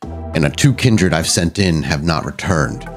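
Man playing a very low, grave vampire prince. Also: very animated, creaky, full character voice. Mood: grim. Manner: slow, formal, measured. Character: grave, commanding vampire prince giving orders. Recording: music behind the voice.

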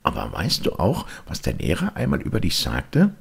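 Man as an eager boy puppet, pitched up. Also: huge pitch swings, normal speed, gravelly, breathy edge, heavily acted. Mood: earnest. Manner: eager, exclamatory. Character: naive, excitable boy puppet.

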